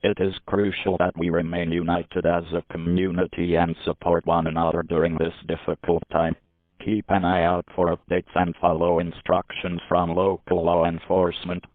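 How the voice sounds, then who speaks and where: A dull, band-limited recording of a man with a very low voice and a dry, close sound. He delivers a scripted emergency alert as an announcer, formal and measured throughout. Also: no echo. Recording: muffled, band-limited lo-fi audio.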